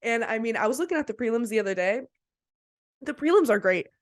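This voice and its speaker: A woman's voice: on the high side, animated, fast talker, dry recording. A woman with a slight cold co-hosting a podcast, giving casual, slangy and enthusiastic fight analysis close to the mic. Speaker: podcast co-host.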